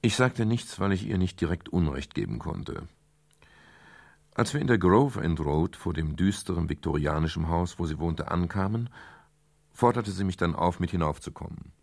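An actor playing a brooding private detective who narrates his case in a measured, melancholy, literary way in a radio crime drama, with a gravelly, husky voice. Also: deep voice, darkish tone, no echo. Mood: pensive, melancholy. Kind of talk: 1970s German radio crime drama, first-person narration.

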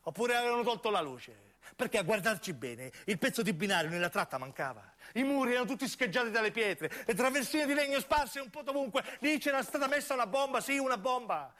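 High-pitched southern Italian man, dramatic storyteller. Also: bright, forceful tone. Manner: emphatic, incantatory repetition, acting out the characters as he tells. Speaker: narrating actor. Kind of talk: theatrical dramatic monologue storytelling for radio.

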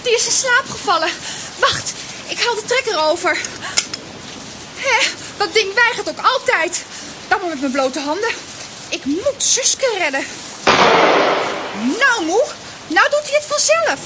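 Casting: bossy little comic-strip girl, very high and lively, scolding, exclamatory, noisy rainy recording. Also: a little slow, long pauses, exaggerated voice. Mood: agitated. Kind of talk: children's audio drama acting. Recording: noisy audio with rain behind.

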